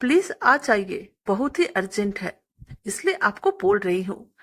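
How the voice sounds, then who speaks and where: A woman's voice: mid-range voice, sing-song, a little slow, a bit bright. A woman voicing a young married woman customer in a story, polite, friendly and coaxingly insistent. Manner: polite, persuasive.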